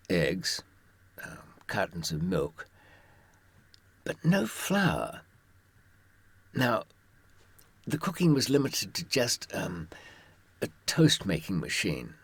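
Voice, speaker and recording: Male raconteur, very low and rough-edged husky voice, dry close sound, wry and understated, sharing hard-won advice informally. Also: dry recording. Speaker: author and former prisoner giving advice. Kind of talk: informal first-person storytelling to listeners.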